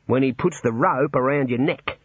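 A man's voice: mid-range voice, moderate pace, slightly rough, no room sound, dull audio, close to an everyday voice. A man voicing a cheerful prison warder, breezy and matter-of-fact about grim things. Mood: jovial. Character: cheerful older male prison warder, jovial, chatty.

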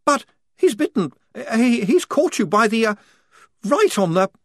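A man's voice: sits high, big pitch swings, measured pace, sharp tone, dry recording, studio quality, voice pushed up, full character voice. A man voicing a fussy, nervous young army lieutenant who stammers and frets politely. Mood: flustered.

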